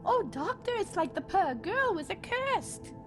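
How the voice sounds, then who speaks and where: Fretful maid in a radio drama, high voice swooping all over, music behind. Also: female voice, sing-song, measured pace, full character voice. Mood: pitying dismay. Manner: lamenting, exclamatory. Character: fretful, pitying, deferential housemaid. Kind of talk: radio mystery drama acting.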